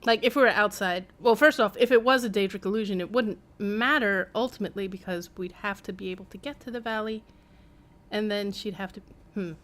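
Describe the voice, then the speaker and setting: A woman's voice: medium pitch, a little fast, fairly bright tone. A woman giving casual, playful live commentary as a game streamer, with wry asides.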